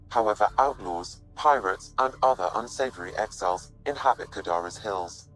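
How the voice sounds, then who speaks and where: A man voices a calm computer intelligence for a video game. He is formal and measured, a little low in pitch, with faint music underneath. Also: close to an everyday voice, rough recording. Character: calm, precise male artificial intelligence assistant. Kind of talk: video game character exposition.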